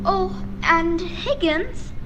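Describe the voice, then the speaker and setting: A woman's voice: very high-pitched, very slow, dull audio, full character voice. A woman playing a vain, gracious vampire countess with theatrical airs in a radio play. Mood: sweetly sinister. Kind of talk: amateur radio play acting. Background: music.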